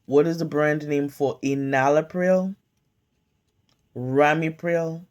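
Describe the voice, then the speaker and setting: Casting: calm woman study tutor, slow deliberate delivery, mellow tone, home phone recording. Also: low-pitched. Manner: calm, measured, question-and-pause drilling. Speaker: pharmacy tech study tutor. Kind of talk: slow flashcard-style quiz review. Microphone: phone camera filming whiteboard.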